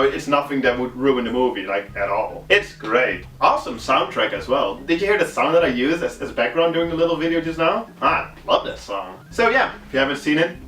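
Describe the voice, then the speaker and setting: Animated Belgian man reviewing movies, restarts. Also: mid-range voice. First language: Dutch. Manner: animated, halting with frequent restarts. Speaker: movie recommendation vlogger.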